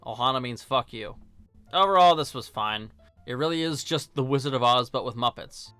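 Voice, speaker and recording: A man's voice: medium pitch, normal speed, sharp tone, clean tone, dry recording, faint music behind. A man narrating a scripted film review in a casual, joking, sarcastic and colloquial way. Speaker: video host and film reviewer.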